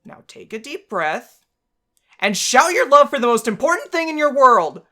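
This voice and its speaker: Woman acting out a fiery fish warrior woman, bossy, forceful, animated pitch, game character. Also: moderate pace, full character voice. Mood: fired up, passionate. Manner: forceful, booming encouragement. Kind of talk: amateur visual novel voice acting.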